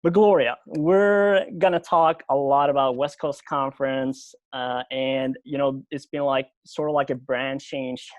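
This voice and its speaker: A man hosting a podcast interview over a video call, speaking English as a second language, halting, with many fillers and restarts. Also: mid-range voice, fairly even intonation, no room sound. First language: not English. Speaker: podcast host.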